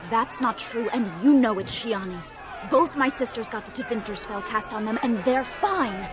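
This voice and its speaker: A woman's voice: a little high, normal speed, crowd noise, noisy background, close to an everyday voice. An actress voicing an anxious, insistent townswoman in a video game.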